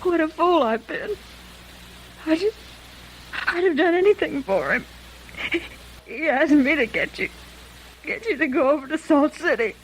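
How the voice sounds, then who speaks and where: An American actress playing a tired, sad, lovelorn gangster's wife, emotional and wounded, in 1950s radio melodrama. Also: female voice, high voice, lively intonation, a little slow, pauses often. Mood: anguished. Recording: noisy vintage radio recording.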